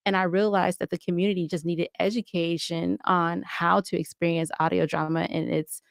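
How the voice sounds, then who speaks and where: Enthusiastic woman, lowish voice, dry sound. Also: level tone, moderate pace, creaky, dry recording. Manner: enthusiastic, emphatic, explanatory, often checks with "right?". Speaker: podcast guest, audio drama producer. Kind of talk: conversational interview talk.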